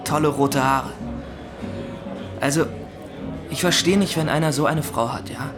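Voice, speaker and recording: An actor playing a chatty, obliging barkeeper in a radio drama, gossiping casually. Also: male voice, fairly high pitch, steady tone, unhurried, close to an everyday voice. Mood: gossipy. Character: chatty, obliging male barkeeper who gossips about guests. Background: music.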